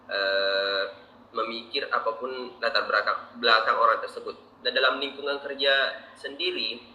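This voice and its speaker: A young Indonesian man from Makassar with an Indonesian accent, answering interview questions in a measured, methodical way with frequent fillers. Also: medium pitch, steady tone, bright, forceful tone, dull audio, a little hiss or hum. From Makassar, South Sulawesi, Indonesia. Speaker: interviewee, engineer. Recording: roomy, distant mic, faint ambience.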